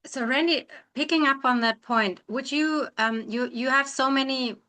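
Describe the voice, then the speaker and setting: A woman acting as interviewer, putting questions in a polite, somewhat halting manner. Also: high-pitched, bright, forceful tone.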